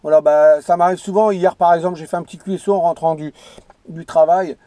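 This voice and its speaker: A man with a fairly high, slightly mellow voice, recorded close and dry. He chats casually as a CB radio operator, rambling and emphatically repeating his points. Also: steady tone, brisk, no room sound. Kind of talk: casual radio contact chat.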